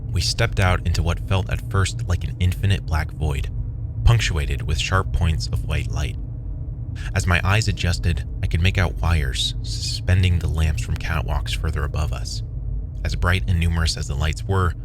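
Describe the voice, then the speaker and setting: A man's voice: low voice, fairly even intonation, normal speed. A man narrating a scripted first-person horror story as its narrator. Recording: loud music under the voice. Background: loud background music.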